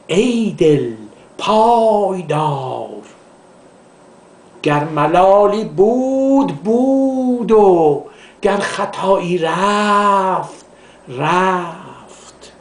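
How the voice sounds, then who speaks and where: High-pitched man reciting poetry in echo. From Iran. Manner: measured, declamatory, with drawn-out line endings. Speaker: professor of Persian literature reciting poetry. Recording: echoey, reverberant room sound.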